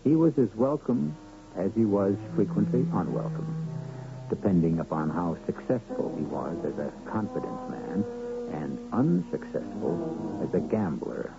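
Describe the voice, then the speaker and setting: Over clear background music on a muffled old radio recording, a low-voiced American man introduces a drama. His delivery is deliberate and theatrical, rising and falling expressively. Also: sing-song. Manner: measured, theatrical, deliberate phrasing. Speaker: radio drama host and narrator. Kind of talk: dramatic scripted narration, 1970s radio. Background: loud music.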